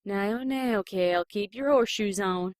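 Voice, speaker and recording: A woman's voice: medium pitch, unhurried, no echo, studio quality, natural voice. A woman playing a caring big-sister cartoon pony with folksy country turns of phrase in an audio drama fan reading. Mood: soothing, puzzled.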